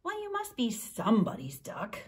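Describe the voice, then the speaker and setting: A woman reading a picture book aloud, voicing a small eager duck and the other animals with bouncy jumps in pitch. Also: wildly swooping pitch, moderate pace, breathy edge, heavily acted. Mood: playful.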